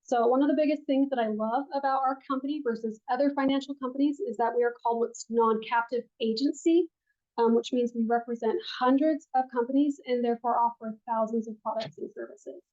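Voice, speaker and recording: A woman advisor teaches a room full of people, quiet and gentle, with a lot of ums. Her voice sits fairly high but comes across mellow. The recording is muffled and lo-fi, picked up by a room mic. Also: fairly even intonation, brisk. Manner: soft-spoken, with frequent ums. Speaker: financial advisor teaching a class. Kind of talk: classroom presentation.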